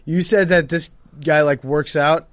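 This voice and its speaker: A man's voice: a little high, steady tone, unhurried, noisy background. A man co-hosting a podcast in casual comedic chat, often repeating phrases as he goes. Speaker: podcast co-host.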